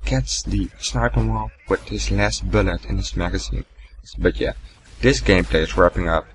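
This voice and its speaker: A young man giving casual gameplay commentary, rambling and swearing, with a deep, gravelly morning voice. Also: normal speed, a little hiss or hum. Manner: rambling, swearing, laughing at himself. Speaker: gaming commentator.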